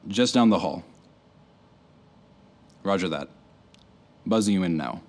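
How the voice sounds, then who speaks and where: A very low, deadpan, dependable handyman, noisy recording. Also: slow pace. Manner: deadpan, clipped. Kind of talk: audio drama acting.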